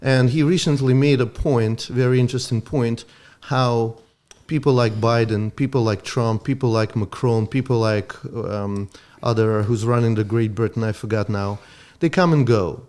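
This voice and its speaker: A man from Ukraine whose first language is Russian, a doctor guest explaining things earnestly in slightly halting English. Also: mid-range voice, fairly even intonation, darkish tone. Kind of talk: interview conversation.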